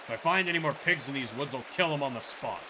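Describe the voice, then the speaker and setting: A man's voice: medium pitch, fast, noisy background, natural voice. A man voicing a stern, threatening gamekeeper in a video game, curt and commanding.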